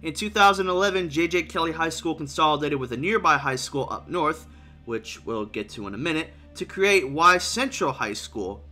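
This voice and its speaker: A man hosts a scripted documentary-style video in voice-over. His voice sits a little higher than average and has a clear, bright, forward tone. He reads in an explanatory way, letting his sentences fall away at the end. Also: lively intonation, moderate pace, soft background music. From Tennessee or southwest Virginia region. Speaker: host and narrator.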